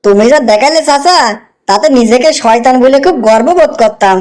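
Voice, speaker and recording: A woman voicing an eager, admiring junior devil in a comic cartoon, chatty and excitable. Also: fairly high pitch, brisk, natural voice. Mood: curious, eager. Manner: chatty, repeating words excitedly.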